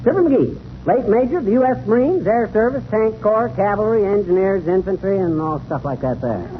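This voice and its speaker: Boastful old-time american radio major, folksy, voice swings all over, tinny noisy recording. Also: male voice, sits high, lively intonation, brisk. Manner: folksy, boastful, ungrammatical wisecracking. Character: cocky, folksy, tall-tale-telling retired army major, a man. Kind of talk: 1930s radio comedy acting.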